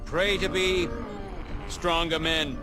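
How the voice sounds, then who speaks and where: Loud music swells around a man acting as a solemn male orator. He speaks very slowly in a high-set voice, in the cinematic manner of a game intro. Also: level tone.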